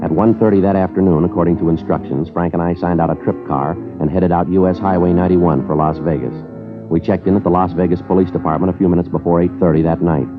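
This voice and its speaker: An actor playing a terse, hard-boiled male police sergeant who narrates a 1950s radio crime drama in a clipped, flat, matter-of-fact way. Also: deep voice, fast talker, lightly acted. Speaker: detective narrator. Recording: tinny narrow-band old radio transcription with music.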